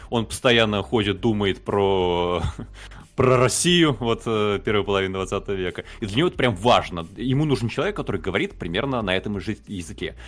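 A man co-hosting a casual film discussion podcast, arguing his points and making pointed objections. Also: mid-range voice. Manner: argumentative, makes pointed objections. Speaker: podcast co-host.

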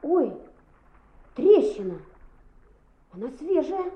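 An actress playing a lively, cheeky schoolboy who narrates his exploits in a radio play. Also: high-pitched, takes it slowly, highs cut off, full character voice. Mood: wistful. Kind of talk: radio play acting with first-person narration.

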